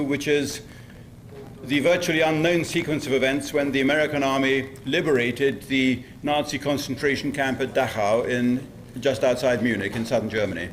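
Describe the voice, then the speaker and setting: A man acting as host, giving a formal, measured introduction of a speaker. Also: medium pitch, slight background noise.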